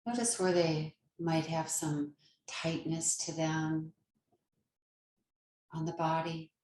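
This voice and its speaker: Female meditation guide, lower-pitched and very slow, gentle and soothing, in a roomy, echoing space. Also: little pitch movement, slight background noise. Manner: gentle, unhurried, soothing instruction with long pauses. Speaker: yoga nidra meditation teacher.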